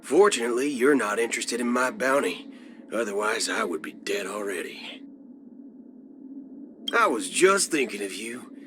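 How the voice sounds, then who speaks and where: A man's voice: mid-range voice, animated, moderate pace, pauses often, noisy background, lightly acted. A man playing a cocky cyborg cowboy outlaw in a video game, with a swaggering, folksy drawl. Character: cocky cyborg cowboy gunslinger outlaw, a male galaxy ranger. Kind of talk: video game character voice acting. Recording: music behind the voice. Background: music.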